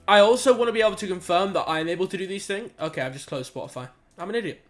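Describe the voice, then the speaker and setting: Young male streamer, high voice, laid-back slangy chat while working problems aloud. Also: racing. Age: young adult. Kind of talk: casual live-stream chat while solving maths.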